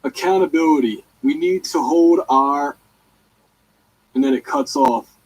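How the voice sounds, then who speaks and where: A man's voice: on the high side, unhurried, a little mellow, low-quality recording. A man reading a written letter aloud as an amateur narrator, with emphatic, declarative delivery.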